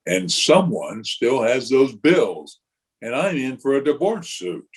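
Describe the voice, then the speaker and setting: A man playing a troubled, sheepish married engineer in a radio detective drama, speaking in a hesitant, measured way. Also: mid-range voice, very animated, breathy edge, heavily acted. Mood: worried, hesitant. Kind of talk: old-time radio drama re-creation.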